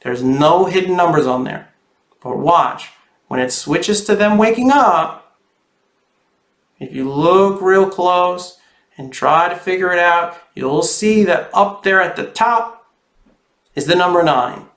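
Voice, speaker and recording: A man's voice: high-pitched, expressive, takes it slowly, long pauses, a bit bright. A man who is a children's author, reading a storybook expressively and talking directly to young viewers. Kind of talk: animated storybook read-aloud and friendly address to children. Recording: roomy, echoey sound.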